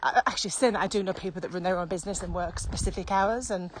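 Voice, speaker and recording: A woman's voice: fairly low pitch, fast. Woman chatting, noticeably noisy recording. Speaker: podcast co-host. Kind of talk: casual podcast chat. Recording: clearly noisy background with clicks.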